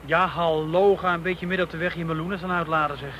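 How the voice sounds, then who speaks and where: Wisecracking detective, noisy street. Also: male voice, on the high side, fairly even intonation, fast talker, bright, forceful tone. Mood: teasing. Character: wisecracking, blunt male police detective. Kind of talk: naturalistic radio play dialogue. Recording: noisy street and vehicle ambience.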